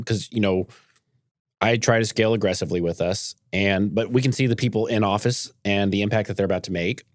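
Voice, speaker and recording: Low-voiced man talking casually on a podcast. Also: darkish tone, dry recording, studio quality. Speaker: podcast host, railroad company boss.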